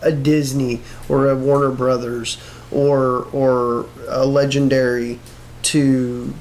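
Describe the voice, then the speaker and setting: A man's voice: medium pitch, level tone, slow, darkish tone, rough recording. A man co-hosting a casual talk show, giving his opinions conversationally. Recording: noticeable background noise.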